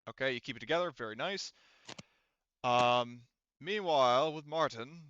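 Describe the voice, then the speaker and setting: A man's voice: mid-range voice, normal speed, fairly bright tone, muffled recording, a little hiss or hum. A man running a tabletop roleplaying game as game master, talking through rules and action in casual, sweary language. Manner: informal, swears freely.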